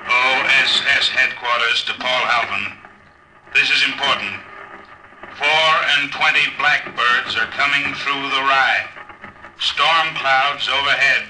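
A slow, deliberate military radioman at headquarters, reading out coded messages through a radio filter. The old drama recording is clearly noisy. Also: mid-range voice, pushed and bright. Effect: radio transmission filter. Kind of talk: 1950s radio drama acting.

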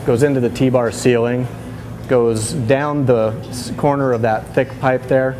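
A man in his thirties, a head brewer giving a casual tour of his small brewery, relaxed and full of fillers and restarts. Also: medium pitch, a little slow, background noise. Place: small brewery inside a bar, among steel tanks. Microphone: lapel mic.

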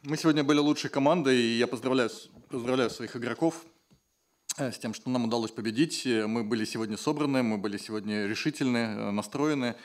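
A man's voice: medium pitch, fast. A man moderating a post-game press conference and interpreting the coaches, hesitating often with filler sounds. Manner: hesitant, many filler sounds while translating. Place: press room at a basketball arena.

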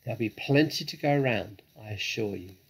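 A suave father fox from a children's tale, performed by a male reader. He speaks with courteous, sly confidence in a husky voice, reassuring and theatrical. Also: lowish voice, lively intonation, rough recording. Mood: generous. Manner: courteous, deliberate, slyly theatrical.

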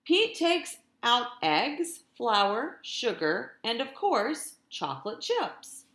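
A middle-aged woman, a teacher, reading a picture book aloud to young children in an expressive, deliberate way, speaking to them directly. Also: fairly high pitch, reverberant. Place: indoors in a room at home.

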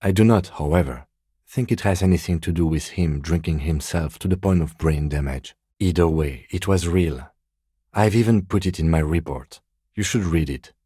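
Video game police lieutenant, very low pitch, husky, composed, formal, precise, dry and understated. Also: male voice, normal speed, dark tone, no echo. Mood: calm, matter-of-fact. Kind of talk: scripted game dialogue.

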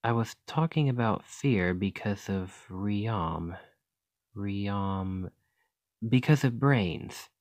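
A man playing an earnest, chatty scholar in an ASMR roleplay, speaking gently and hesitantly as he rambles and interrupts himself. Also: lowish voice, animated, very slow, no echo, heavily acted. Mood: musing, rambling. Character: earnest, rambling male scholar gently reassuring a captive creature.

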